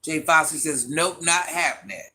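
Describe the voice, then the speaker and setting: A woman's voice: low voice, animated, normal speed, bright, forceful tone. A woman hosting a casual livestream, reading viewer comments aloud and teasing them by name with a laugh. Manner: calls herself Granny.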